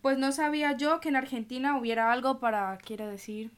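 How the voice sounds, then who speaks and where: High-voiced woman asking sceptical questions. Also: steady tone, fairly quick, clear, resonant tone. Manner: inquisitive, sceptical. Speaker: questioning co-presenter.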